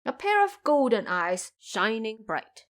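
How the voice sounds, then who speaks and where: A woman's voice: a little high, sing-song, a little slow. A woman narrating a scripted fantasy tale as a dramatic storyteller.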